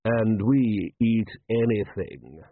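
A man voicing a solemn, cynical desert warrior who talks in a measured, sardonic way in an audiobook. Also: fairly low pitch, lively intonation, husky, no echo, exaggerated voice. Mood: cynical, wry. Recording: thin, band-limited, phone-like sound.

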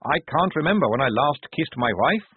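A man's voice: a little high, big pitch swings, normal speed, husky, dry recording, voice pushed up. A man voicing an oafish, self-pitying guard in a storybook, with a chummy, wheedling manner. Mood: leering, chummy. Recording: narrow tinny phone-like audio.

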